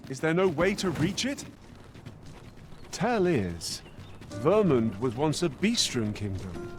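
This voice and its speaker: An actor playing an earnest, loyal adventuring companion in a fantasy game, speaking in emphatic, old-fashioned phrases. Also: male voice, a little high, sing-song, takes it slowly, faint music behind. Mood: calm, earnest. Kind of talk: scripted fantasy game dialogue.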